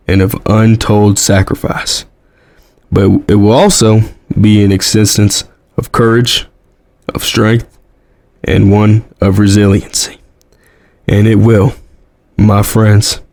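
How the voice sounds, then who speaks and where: A man with an American accent playing a solemn president who delivers a measured, oratorical farewell address in an audio drama. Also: on the low side, a little mellow, no room sound.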